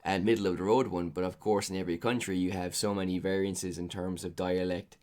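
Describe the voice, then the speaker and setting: An Irish man with a very low, deep voice, chatting casually on a podcast. He rambles, circling his points with plenty of ums and uhs. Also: level tone, fairly quick, a little mellow. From Ireland. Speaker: podcast co-host.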